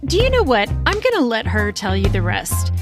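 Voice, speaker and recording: A woman's voice: fairly high pitch, huge pitch swings, moderate pace, over music. A woman hosting a book podcast, chatty and upbeat, reading a scripted welcome before she starts interviewing her guest. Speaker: podcast host.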